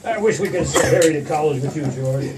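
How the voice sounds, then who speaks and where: A man's voice: fairly high pitch, fairly quick, lightly acted. An actor playing a weary, kindly, idealistic older father with a hesitant manner, in 1940s radio drama style. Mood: weary, fond. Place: theater stage. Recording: distant stage pickup with faint noise.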